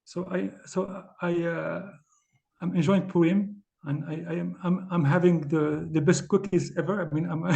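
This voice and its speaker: A man from Tunisia speaking English as an on a video call, halting with many fillers and restarts. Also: high-pitched, vocal fry, a little mellow. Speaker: class participant. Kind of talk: casual discussion on a video call.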